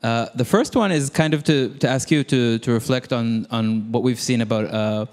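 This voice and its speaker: A man's voice: mid-range voice, normal speed, a little mellow. Male conference attendee, 30s, mid-range voice, informal question on handheld mic in reverberant auditorium. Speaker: audience questioner. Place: audience seats in large auditorium. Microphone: handheld microphone held close. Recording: reverberant hall sound.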